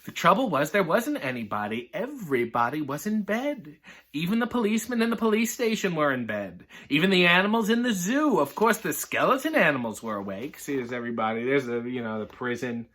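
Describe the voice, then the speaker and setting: Bright-voiced man, wryly reading aloud. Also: a little high, a little fast. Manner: sardonic, deadpan asides. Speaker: storyteller reading a children's picture book. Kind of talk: storybook reading with wry comic asides.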